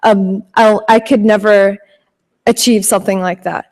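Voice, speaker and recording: A woman's voice: medium pitch, steady tone, measured pace, darkish tone. Teen girl student telling her story on stage, lots of ums, long echo in the hall. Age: teens. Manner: hesitant, many ums. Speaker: student panelist. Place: large auditorium stage.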